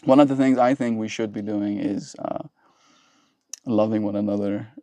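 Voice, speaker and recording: A man's voice: on the low side, steady tone, normal speed, mellow, no echo. A man in his twenties, a native Afrikaans speaker from southern Africa, chatting casually with his stream audience in a playful, rambling way. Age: 20s. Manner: playful, rambling, laughs often. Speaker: livestreamer.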